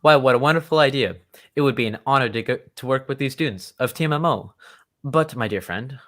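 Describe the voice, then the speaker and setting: A man playing a polite, earnest, loyal gentleman friend of a detective in an old-time radio drama, speaking with formal courtesy. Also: medium pitch, brisk, close to an everyday voice. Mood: genial.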